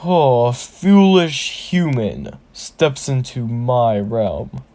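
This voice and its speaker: A man's voice: medium pitch, expressive, dark tone. A huge, bloodthirsty orc shaman boss, acted out by a man storyteller. He taunts and mocks with arrogant relish, in the manner of a fantasy story villain. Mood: mocking, menacing. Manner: taunting, with drawn-out villainous laughs.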